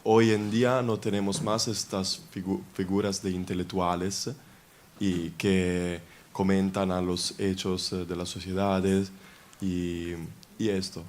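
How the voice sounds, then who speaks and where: Young Italian man, low voice. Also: darkish tone. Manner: polite, searching for words. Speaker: audience member asking a question. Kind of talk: question from the floor in a colloquium.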